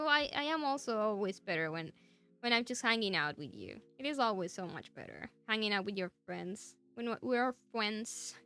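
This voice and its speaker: A fairly high-pitched woman chats with her stream audience, giggling between phrases. Her voice is bright and very lively, rising and falling a lot. Soft music plays quietly behind her. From Argentina. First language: Spanish. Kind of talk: casual chat with live chat viewers.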